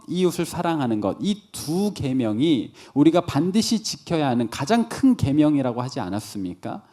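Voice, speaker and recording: Animated higher-pitched male preacher. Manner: rhetorical questions, answers them himself. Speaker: pastor preaching to young adults. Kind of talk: sermon with conversational illustrations.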